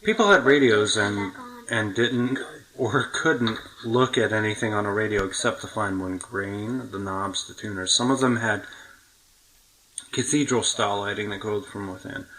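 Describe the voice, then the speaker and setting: A man's voice: fairly low pitch, moderate pace, fairly bright tone, low-quality recording. A middle-aged man with an American accent, hosting a podcast in casual, reminiscing chat. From United States. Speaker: podcast host.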